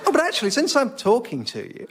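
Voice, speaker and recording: A man's voice: very high voice, wildly swooping pitch, quick pace, slightly rough, full character voice. An actor playing a flustered, self-berating British man who stammers hesitantly through awkward voicemails in a radio comedy sketch. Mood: awkward, rambling. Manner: hesitant, stammering, bursts into exasperated shouting at himself.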